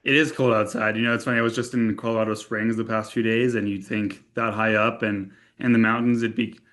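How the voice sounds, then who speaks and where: A man's voice: lowish voice, flat delivery, a little fast, fairly bright tone. A young man from Austria, a tech company co-founder, speaking as a guest in a casual podcast interview, talking things through with frequent fillers and restarts. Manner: conversational, many fillers and restarts.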